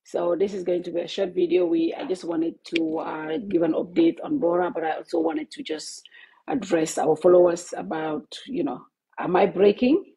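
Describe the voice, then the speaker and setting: A woman with a low, husky voice hosts a live stream for her online network from her office, halting often with fillers and restarts. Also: lively intonation, dark tone, poor audio. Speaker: host of an online news network. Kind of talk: casual live-stream address to followers. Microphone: Bluetooth headset.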